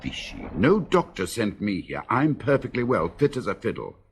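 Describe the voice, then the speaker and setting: Radio drama: a sour, sardonic writer, deep and gravelly. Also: male voice, very animated, normal speed, a little hiss or hum. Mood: grumbling. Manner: dry, grumbling. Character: grumpy middle-aged male writer at a spa, sardonic. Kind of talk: 1970s BBC radio drama acting.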